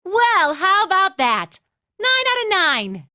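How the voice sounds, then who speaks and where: A woman's voice: very high voice, sing-song, slow, bright, forceful tone, a little hiss or hum, heavily acted. An actress playing a breezy, teasing young female academy instructor in an anime game dub, playful and casual in manner.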